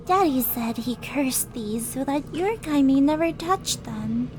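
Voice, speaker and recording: Female actor as devil's daughter for roleplay audio drama: high voice, unhurried delivery, mock-sweet, sadistic teasing, music underneath. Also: expressive. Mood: scheming, sardonic.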